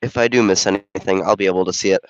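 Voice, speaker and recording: Congested man with a deep, smooth voice. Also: racing, dry recording, dull audio. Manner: relaxed, chatty, thinking aloud. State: congested from a cold. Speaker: volunteer game commentator and camera operator. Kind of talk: casual play-by-play commentary. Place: school gym during a basketball game.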